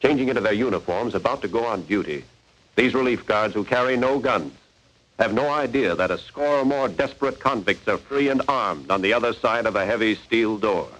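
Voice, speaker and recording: An American actor playing a grave crime-show narrator, with portentous, dramatic 1940s radio delivery. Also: male voice, on the low side, brisk, fairly bright tone, natural voice, rough recording. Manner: portentous, florid. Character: grave male narrator of a true-crime thriller. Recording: old 1940s radio broadcast.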